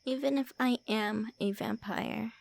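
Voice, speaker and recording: A woman's voice: fairly high pitch, fairly even intonation, measured pace, creaky. A woman voicing a young-looking female vampire lord who is secretly shy and calls the listener names. She whispers and stammers in an ASMR roleplay. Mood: flustered teasing. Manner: whispery, stammering, brusque teasing. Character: tsundere female vampire lord, centuries-old, looks early twenties, flustered, insulting.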